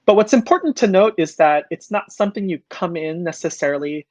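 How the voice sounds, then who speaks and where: A speaker from Hawaii whose first language is Hawaiian, a choral artist answering interview questions thoughtfully, with frequent pauses and restarts. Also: mid-range voice, no echo, lo-fi sound. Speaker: choral artist, podcast guest. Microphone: video call.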